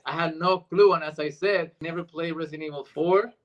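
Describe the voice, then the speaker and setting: Higher-voiced man from Argentina, chatting casually. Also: fairly even intonation, fairly quick, lo-fi sound. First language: Spanish. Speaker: interview guest, actor. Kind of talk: casual chat, storytelling.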